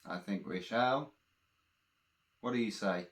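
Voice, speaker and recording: A man's voice: fairly low pitch, takes it slowly. A man telling a fantasy adventure story in a dramatic, theatrical way, addressing listeners directly. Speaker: storyteller and author reading his own fantasy tale. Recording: roomy echo.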